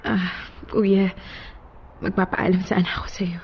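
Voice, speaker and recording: A woman's voice: on the low side, flat delivery, unhurried, highs cut off, a little hiss or hum. An actress playing a grieving, pleading young woman who has lost her partner, in a Tagalog radio drama. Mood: subdued, sad.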